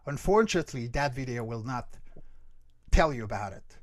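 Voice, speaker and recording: A man's voice: medium pitch, lively intonation, normal speed. A man teaching in an online workshop, explaining emphatically and often checking that listeners follow. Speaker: guest creature voice instructor.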